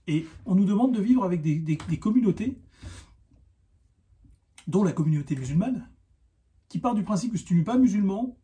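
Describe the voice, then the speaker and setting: A man giving an unscripted, argumentative opinion monologue, insistent and halting, often repeating himself. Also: high-pitched, animated, dark tone. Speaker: online video commentator.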